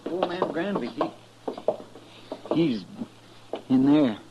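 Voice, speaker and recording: An actor playing a loyal, excitable deputy sidekick in a radio western, with a drawling, deferential, homespun manner. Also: male voice, a little high, very slow, dull audio. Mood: shaken. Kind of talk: 1950s radio western acting. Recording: noisy old-time radio recording.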